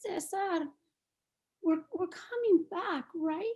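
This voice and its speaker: A man's voice: very high voice, takes it slowly, a little mellow, pitched above the performer's own voice. A man doing a frightened little girl. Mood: scared whisper. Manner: pleading, fearful.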